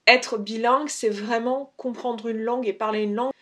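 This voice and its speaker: A woman's voice: fairly high pitch, steady tone, normal speed, a bit bright. A woman from France, speaking as a video blogger who gives casual, unscripted tips in a conversational way, rephrasing as she explains. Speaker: video blogger giving language-learning tips. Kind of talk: casual, unscripted advice to camera.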